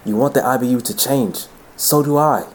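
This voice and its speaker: An actor as an earnest young man, lovelorn and pleading. His voice sits at an ordinary male pitch, played as audio drama. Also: moderate pace, natural voice.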